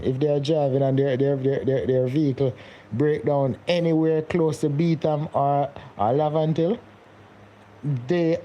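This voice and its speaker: A man's voice: a little high, warm tone, lo-fi sound. A young man, hosting a casual live stream and chatting with viewers, rambling and repeating his words as he goes. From Jamaica. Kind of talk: casual live-stream chat reading comments.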